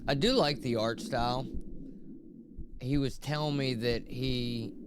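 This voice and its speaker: A man's voice: medium pitch, fairly even intonation, a little slow, background noise. A man doing casual let's-play commentary, reading screen text aloud between informal, sweary asides. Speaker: host playing through a visual novel.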